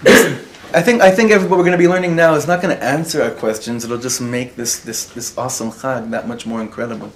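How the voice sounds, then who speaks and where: A man's voice: medium pitch, fast, a little hiss or hum. A man, a rabbi, teaching a live class to an audience in the room, mixing Hebrew and Yiddish words into his talk and putting questions to his listeners. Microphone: recorded for web students.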